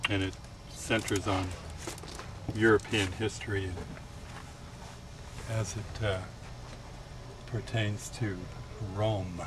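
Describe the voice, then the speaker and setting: Low-voiced librarian man, noisy background. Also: flat delivery, takes it slowly, long pauses. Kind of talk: explanatory chat.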